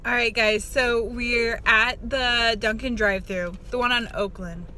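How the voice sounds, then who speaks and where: A woman's voice: on the high side, fairly even intonation, a little slow, sharp tone. A woman, chatting informally to camera as a vlogger in a car. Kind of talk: casual vlog chat to camera. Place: inside a car. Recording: faint car and ambient noise.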